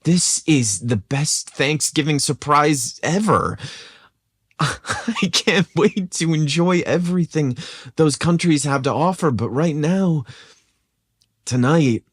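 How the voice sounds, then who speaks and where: A man voicing a tender, excitable man in love in a romantic audio story, earnest and emotional. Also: medium pitch, dry recording, clean studio sound, voice pushed up.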